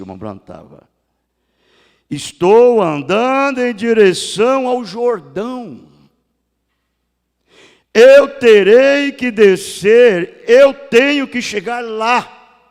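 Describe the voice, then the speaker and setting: Gravelly older Brazilian preacher in a reverberant church. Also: male voice, high-pitched. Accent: Brazilian Portuguese. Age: 60s. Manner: preaching that surges to loud cries then drops to hushed tones. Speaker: pastor. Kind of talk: sermon. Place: church sanctuary. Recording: long church hall reverb.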